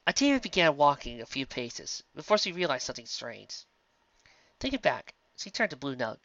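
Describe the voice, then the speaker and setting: Story narrator, expressive scripted read, lively pitch swings, dry but slightly dull lo-fi recording. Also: normal speed, dry recording. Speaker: fiction narrator.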